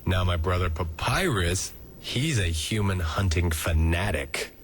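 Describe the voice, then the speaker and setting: A man's voice: sits low, lively intonation, a little slow, slight background noise. A man voicing a laid-back, joking skeleton big brother with a menacing edge and a lazy drawl, in a comic dub. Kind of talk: comic dub character acting. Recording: music behind the voice.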